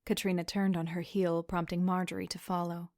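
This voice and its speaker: Female lead, acted, first-person audio drama. Sardonic, wounded narrator of her own school days; lowish voice, dry sound. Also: little pitch movement, fairly quick, a little mellow, no room sound, natural voice. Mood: reflective, subdued.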